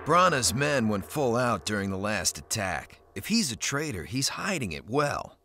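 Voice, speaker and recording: A man's voice: fairly low pitch, very animated, moderate pace, sharp tone, slight background noise, full character voice. A man playing a hot-headed, sardonic commander and former pirate in a video game, with a gritty, terse delivery. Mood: dry, resolute. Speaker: game protagonist and narrator. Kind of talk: dramatic game cutscene acting with brooding narration.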